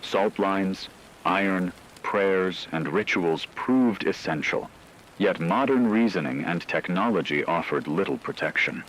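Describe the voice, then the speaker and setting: A man playing a radio newsman who gravely reports a supernatural horror in formal, ominous scripted narration. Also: low-pitched, measured pace, fairly bright tone, a little hiss or hum, rough recording. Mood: grave, solemn.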